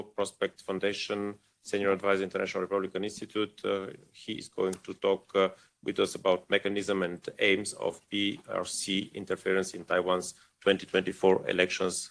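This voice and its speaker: A man moderating a seminar who introduces the speakers haltingly, with many hesitations. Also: sits low, gravelly, a bit bright. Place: conference room at a parliament.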